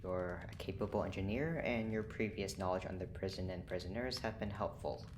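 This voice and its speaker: An actor playing a cold, formal, work-obsessed lead scientist in an audio drama, with curt, precise, deadpan delivery. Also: deep voice, fairly quick, natural voice. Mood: cool, formal. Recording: clear background noise.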